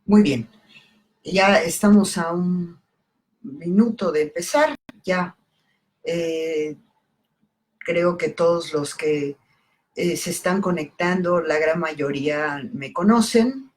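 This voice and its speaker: A middle-aged woman, Italian by birth, talking unhurriedly about her book, with many pauses and fillers in a live stream. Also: lowish voice, darkish tone, low-quality recording. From Italy, raised in southern Mexico. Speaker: poet and editor presenting her book.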